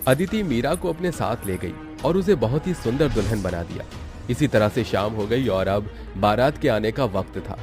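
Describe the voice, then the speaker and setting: A man's voice: fairly low pitch, expressive, normal speed, a little mellow. A man narrating a scripted horror story for a cartoon, as the storyteller. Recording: music bed under the voice.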